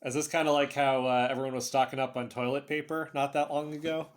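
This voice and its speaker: A man's voice: mid-range voice, flat delivery, natural voice. A man playing an earnest, rambling attendee at an online group session, stammering and repeating himself in a naturalistic audio drama. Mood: earnest, awkward. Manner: halting, stammering, repetitive.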